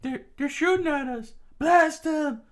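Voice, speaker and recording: A man voicing agitated soldiers and rebels in an adventure story, with strained, frantic, exclamatory shouting. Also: very high voice, moderate pace, pitched above the performer's own voice. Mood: panicked. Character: panicked, angry soldiers and rebels in battle.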